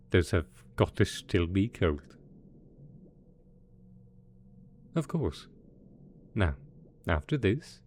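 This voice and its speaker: A deep-voiced mad scientist supervillain, acted by a man for an ASMR roleplay. He is possessive and doting, coaxing softly with a sweetly sinister manner. Some background noise sits behind the voice. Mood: cheerful, attentive. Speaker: voice actor in audio roleplay.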